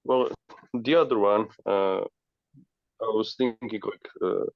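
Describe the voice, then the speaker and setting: A man with a fairly low voice reasons aloud in a casual, halting way as a learner. The recording is a little dull and quite dry, with hardly any room sound. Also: creaky, dry recording. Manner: tentative, halting. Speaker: learner trying puzzles.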